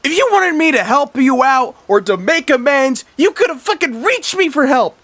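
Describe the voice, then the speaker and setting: A shattered fish brother, screaming through rage and grief. His voice shoots far above its usual pitch and blares loudly. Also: big pitch swings, moderate pace, no room sound, full character voice. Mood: enraged.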